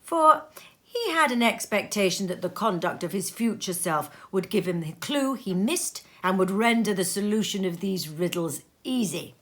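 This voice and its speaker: A woman narrating a classic story aloud in an expressive storybook style, recorded in a sitting room at home. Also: medium pitch, normal speed. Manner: animated, theatrical character voices in dialogue.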